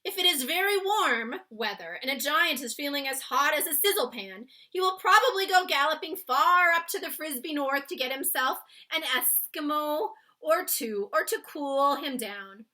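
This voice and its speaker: A woman's voice: high-pitched, expressive, normal speed, hardly any pauses, sharp tone, pitched above the performer's own voice. A woman voicing a big, friendly male giant from a children's storybook, with muddled grammar and nonsense words in an exclamatory manner. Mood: explaining with relish. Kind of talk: read-aloud children's storybook character.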